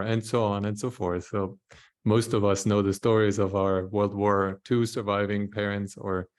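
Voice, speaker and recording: A man from Austria, a panelist telling his story in a reflective, measured way. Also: fairly low pitch, darkish tone. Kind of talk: panel discussion.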